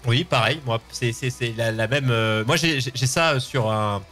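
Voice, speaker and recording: A man's voice: mid-range voice, normal speed, a bit bright. A man, hosting a casual live show and rambling, often repeating words. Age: 20s. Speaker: host of a live open-line show. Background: music.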